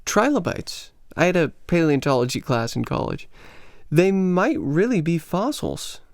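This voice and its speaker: Breezy, lively American man. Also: on the high side, unhurried, creaky, dry recording, clean studio sound, full character voice. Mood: curious. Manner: bantering, breezy, cocksure. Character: adventurous, teasing American man, a teacher on holiday. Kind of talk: radio play dialogue.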